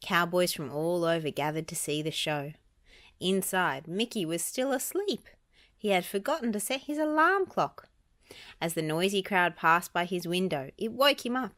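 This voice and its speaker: A woman reading a children's storybook aloud as an expressive narrator. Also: on the low side, normal speed. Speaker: storybook reader.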